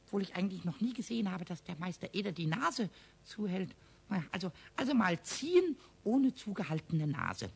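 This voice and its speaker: Casting: cheeky childlike kobold, very husky yet shrill, excitable stammer, animated delivery, 1970s children's radio play. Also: male voice, brisk, full character voice. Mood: curious, scheming. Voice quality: shrill, squeaky, raspy. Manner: stammering, repeating words excitedly. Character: cheeky, whiny little male kobold, childlike.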